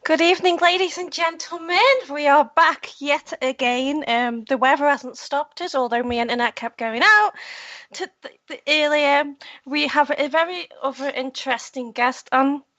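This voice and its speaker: A woman with a high, bright voice hosts an online radio show with a loose, giggly manner. Her audio is dry and close but slightly muffled and lo-fi. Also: moderate pace, no echo. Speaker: host.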